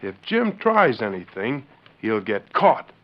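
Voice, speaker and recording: An actor in a 1940s radio western playing a rancher's brash young son, insistent and urgent. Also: male voice, mid-range voice, very animated, slow pace. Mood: accusing, insistent. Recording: muffled 1940s radio recording.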